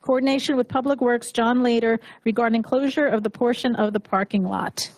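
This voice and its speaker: A woman's voice: on the high side, fairly even intonation, fairly quick, a little mellow, dry recording, poor audio. A woman chairing a council meeting, reading agenda items steadily, with hesitant asides.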